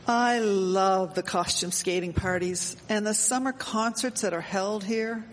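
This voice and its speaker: A wry, outspoken 1870s townswoman played by a woman talks in formal period phrases. Her mid-pitched voice rings in a long echo with faint noise behind. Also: measured pace, natural voice. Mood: pleasant, chatty. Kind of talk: scripted period radio drama. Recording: echoey hall, faint background noise.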